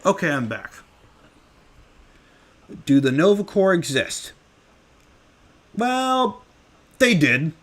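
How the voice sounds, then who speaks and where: A man's voice: high voice, very animated, takes it slowly, long pauses, fairly bright tone. A young man casually answering fan questions at home, rambling with lots of fillers. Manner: rambling with many fillers, offhand. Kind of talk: casual live Q&A.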